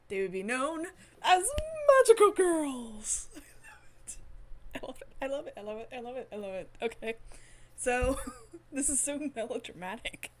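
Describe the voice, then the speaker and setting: A woman's voice: on the high side, a little hiss or hum. A woman hosting a game stream, reading story text aloud dramatically with giggly, enthusiastic asides. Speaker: gaming channel host streaming a visual novel.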